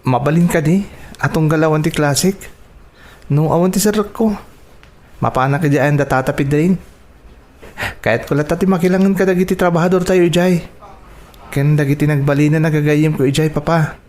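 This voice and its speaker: A man's voice: fairly high pitch, normal speed, long pauses, a little mellow, lightly acted. A Filipino man playing a repentant young student son in a radio drama, earnest and respectful in manner. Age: young adult.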